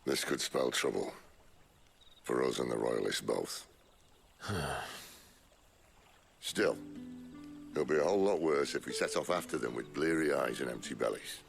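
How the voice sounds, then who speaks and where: An actor playing a shrewd, world-weary outlaw leader in a video game, with a wry, unhurried way of talking. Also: male voice, lively intonation, faint music behind, full character voice. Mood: wry, pondering. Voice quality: deep gravelly creaking voice. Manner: wry, unhurried, colloquial turns of phrase. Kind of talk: video game cutscene acting.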